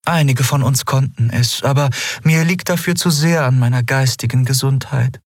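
Man doing a cool cynical winged lady, higher voice, clipped and unimpressed, dry close sound. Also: normal speed, dry recording, close to an everyday voice. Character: aloof winged female ancient being, cool and cynical.

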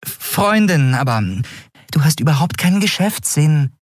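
A man voicing a small winged female companion in a fantasy audiobook, sceptical, pointed and teasing, quick to take offence. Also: fairly high pitch, animated, no echo, clean studio sound, voice pushed up. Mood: reproachful.

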